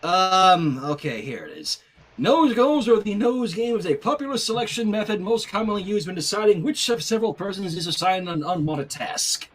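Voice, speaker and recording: A man with a high, husky voice reads fan fiction and comments along casually. His delivery is conversational and swings widely in pitch. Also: normal speed. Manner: animated, conversational. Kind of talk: casual commentary and story narration.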